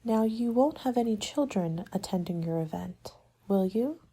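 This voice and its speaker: A woman performs a soft-spoken ASMR roleplay with a dark, relaxed tone and a breathy, husky edge. She talks in a polite, measured, businesslike way, like a consultant taking a client through options. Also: medium pitch. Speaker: party planning agent in an ASMR roleplay.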